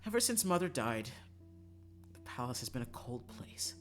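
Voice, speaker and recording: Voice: mid-range voice, very animated, moderate pace, faint music behind, lightly acted. A streamer voicing a cold, guarded young princess from a visual novel, formal and dismissive.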